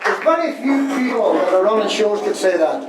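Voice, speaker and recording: A man giving an emphatic, excited rallying talk to a group. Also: high voice, fairly quick. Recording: echoey noisy hall from far off. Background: loud crowd.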